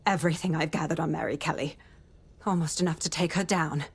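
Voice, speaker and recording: An actress playing a tough, weary, defiant undercover policewoman in a video game, blunt and gritty, with a husky voice. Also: low-pitched, fairly even intonation, brisk, exaggerated voice. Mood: weary, bitter.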